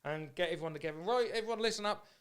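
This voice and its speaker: Bloke hosting a podcast, smooth slightly high voice, chatty and open, very informal. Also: male voice, racing, a bit bright. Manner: informal, candid, agreeable. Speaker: podcast host. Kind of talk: casual chat.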